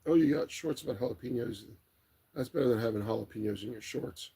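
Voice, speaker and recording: A middle-aged man with a raspy, tired late-night voice, hosting a livestream in a rambling, relaxed way as he chats and reads comments aloud. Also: medium pitch, quick pace. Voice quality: raspy, gravelly. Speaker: livestream host. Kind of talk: casual chat, reading viewer comments aloud.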